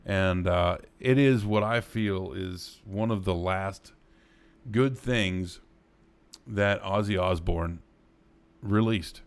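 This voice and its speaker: Deep-voiced man hosting a casual stream. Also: slow. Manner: rambling, with many fillers. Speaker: host of a live music showcase stream. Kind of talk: casual live-stream chat.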